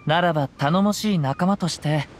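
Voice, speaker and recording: A man voicing a calm young samurai wanderer. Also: fairly high pitch, moderate pace, close to an everyday voice. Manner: formal archaic speech, gentle and reflective. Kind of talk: game voice acting.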